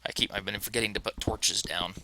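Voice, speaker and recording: A man casually talking to himself as he plays a game, rambling in a relaxed way. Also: on the low side, quick pace, noisy background. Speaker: let's play host. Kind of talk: casual gameplay commentary.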